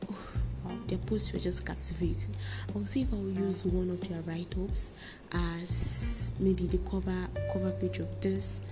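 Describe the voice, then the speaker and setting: Hushed young woman with music behind. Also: on the low side, little pitch movement, unhurried. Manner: soft, hushed late-night talk, often whispering; earnest and grateful, with laughs. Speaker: podcast host. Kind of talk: casual solo podcast chat.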